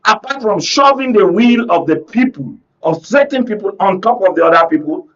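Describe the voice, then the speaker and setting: Nigerian guy political analyst, high voice, emphatic, asks rhetorical questions, muffled broadcast sound. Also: lively intonation, moderate pace, a bit bright. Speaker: political analyst, panel guest. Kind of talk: live panel discussion, political commentary. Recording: dull lo-fi broadcast audio.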